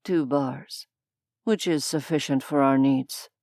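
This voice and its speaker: A woman doing a low, cold male demon. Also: takes it slowly, darkish tone, no echo, studio quality, heavily acted. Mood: cold detached. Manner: formal and detached.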